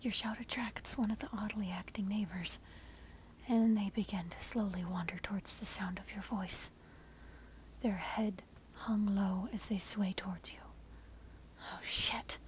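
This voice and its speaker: A woman telling a scary story in a breathy, unvoiced ASMR whisper, close to the microphone. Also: medium pitch, steady tone, moderate pace, poor audio. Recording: soft noise, mic scratching. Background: scratching and layered sounds.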